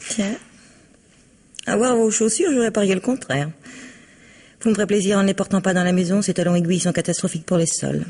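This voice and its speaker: An actress playing a haughty, spiteful invalid mistress of the house in a French radio drama, icy and cutting. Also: female voice, mid-range voice, fairly even intonation, fairly quick, pauses often, darkish tone, slightly rough. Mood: icy politeness. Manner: icy, cutting, falsely polite.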